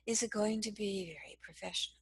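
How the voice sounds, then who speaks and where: A woman with a soft voice giving a slow, measured, gentle lesson on communication as a trainer. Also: mid-range voice, fairly even intonation, breathy edge, no room sound. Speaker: cross-cultural communication trainer. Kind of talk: instructional lesson to camera.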